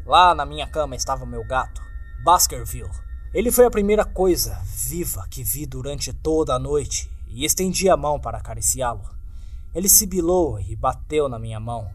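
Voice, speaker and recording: A man's voice: medium pitch, animated, normal speed. A man with a Brazilian Portuguese accent narrating a first-person horror story in a dramatic scripted style. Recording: faint music under the voice. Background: soft background music.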